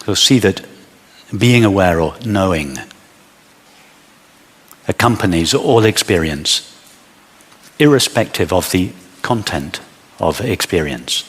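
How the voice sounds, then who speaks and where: Very slow, low-voiced man guiding meditation. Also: animated, husky, in a large hall. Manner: measured, instructing in short phrases with long pauses. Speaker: meditation teacher. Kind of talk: guided meditation.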